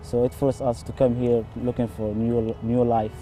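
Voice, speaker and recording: Young man with a dark, mellow voice. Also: medium pitch, little pitch movement, normal speed, soft background music. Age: young adult. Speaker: student athlete.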